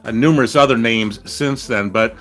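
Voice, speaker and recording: A man's voice: mid-range voice, normal speed, fairly bright tone, soft background music. A man hosting a podcast, asking eager, earnest questions of his guest. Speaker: podcast host. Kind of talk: conversational podcast interview.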